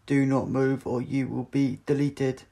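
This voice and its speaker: A man voicing a merciless metallic robot leader in an audiobook, giving stiff, booming commands. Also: flat delivery, measured pace, gravelly, mellow. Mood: cold, commanding.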